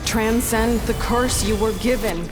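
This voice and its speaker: Woman as a slow-speaking, composed sage in reverb. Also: fairly high pitch, fairly even intonation, lightly acted. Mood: solemn. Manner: measured, dramatic. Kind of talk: video game voice acting. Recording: echoey hall-like reverb, music behind.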